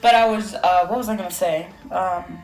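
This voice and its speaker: A woman co-hosting a casual podcast, teasing her partner and chiming in with quick affirmations. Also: lowish voice, vocal fry, reverberant, over music, low-quality recording. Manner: playful teasing, many short affirmations. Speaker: podcast co-host. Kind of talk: casual chat.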